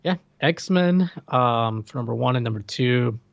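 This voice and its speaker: A man's voice: mid-range voice, lively intonation, a little slow, creaky, no room sound, dull audio. A man co-hosting a podcast in casual chat, often stammering and restarting his sentences. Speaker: podcast co-host.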